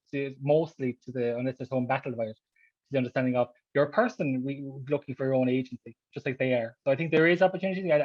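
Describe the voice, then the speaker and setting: Fast-talking man on a video call. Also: mid-range voice, no echo. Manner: earnest, with frequent restarts. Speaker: panelist. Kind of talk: panel discussion. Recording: dull, dry home audio.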